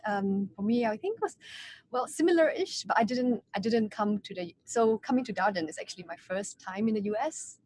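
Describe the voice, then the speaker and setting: A woman's voice: mid-range voice, lively intonation, fairly quick, darkish tone. A young woman from Singapore, an MBA student on a webinar panel, talking casually with many ums and likes. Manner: hesitant, lots of ums and likes.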